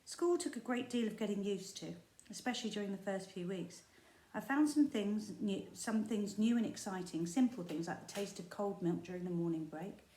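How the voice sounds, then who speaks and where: A woman primary school teacher reading a children's book aloud, expressive and storytelling, with the odd slip. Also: mid-range voice, moderate pace, slight background noise. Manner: expressive storytelling with small slips and asides. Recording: echoey room.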